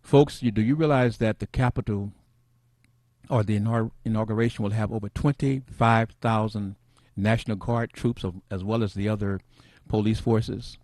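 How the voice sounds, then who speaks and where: Man, middle-aged, host, soft-spoken, rambling, low voice, dry sound. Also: fairly even intonation, normal speed, no echo. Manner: soft-spoken, rambling, addresses viewers as family. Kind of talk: casual call-in talk show.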